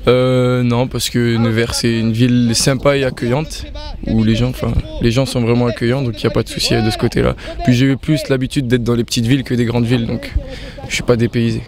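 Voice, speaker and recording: Young man, 19, from Belgium, medium-pitched voice, casual interview answers, halting phrases. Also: flat delivery, crowd noise. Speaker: rugby player and youth coach.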